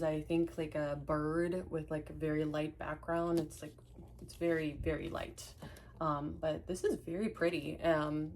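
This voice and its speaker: A woman's voice: sits low, a little mellow, slight background noise. A young woman, Russian her first language, chatting casually as she unboxes books and reads blurbs aloud at home, laughing at her own stumbles. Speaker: book unboxing vlogger.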